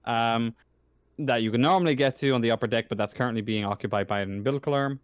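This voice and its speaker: A man acting as game master, casually narrating a tabletop roleplaying game in a halting way, searching for words. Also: mid-range voice, smooth, no room sound, clean studio sound.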